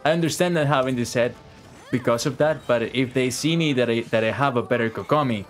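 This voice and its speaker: A man's voice: medium pitch, fairly quick. A man giving casual live-stream game commentary in slangy, chatty language with plenty of swearing. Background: game music.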